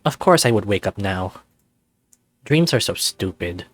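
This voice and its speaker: A man's voice: on the low side, animated, unhurried, no echo, soft background music. Man playing a wry young furry student, close dry sound. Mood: groggy, annoyed. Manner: wry, self-deprecating, conversational first-person narrating. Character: sarcastic, anxious young male furry student with magic powers. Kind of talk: visual novel character voice-over.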